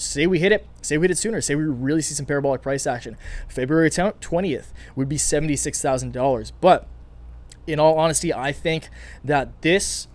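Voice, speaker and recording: Male channel host, rapid upbeat commentary, slight rasp from a long day of recording. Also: a little high. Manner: fast, energetic, chatty, many fillers and restarts. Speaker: finance YouTuber. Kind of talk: casual market commentary to camera.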